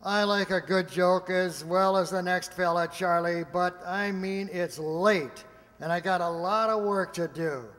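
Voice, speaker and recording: A man's voice: sits high, unhurried, a bit bright. A man playing a condescending, skeptical security supervisor in a radio drama, brusque and easily flustered. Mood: brusque, patronizing. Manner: brusque, patronizing, flustered stammering. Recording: reverberant hall-like amateur recording.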